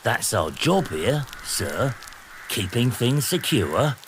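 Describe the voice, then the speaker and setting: An actor playing an officious, sly English gate guard. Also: male voice, mid-range voice, takes it slowly. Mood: sly, polite. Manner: deferential yet sly. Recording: steady background noise.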